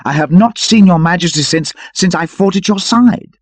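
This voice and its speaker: A man's voice: high voice, normal speed, slightly rough, no room sound, voice pushed up. A man voicing an old knight, reverent and exclaiming with fervent devotion to his king, in an audiobook. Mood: awed, fervent. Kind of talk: dramatic audiobook character voice.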